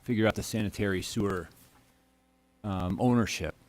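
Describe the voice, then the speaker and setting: A man giving informal technical comments at a board meeting, halting and repeating words as he goes. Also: lowish voice, fairly even intonation, a little mellow. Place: town board meeting room.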